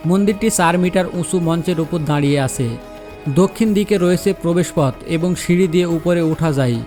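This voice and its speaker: A man with a slightly raised pitch narrates a travel vlog in a steady, descriptive voice-over. Soft background music sits faintly underneath his scripted reading. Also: brisk.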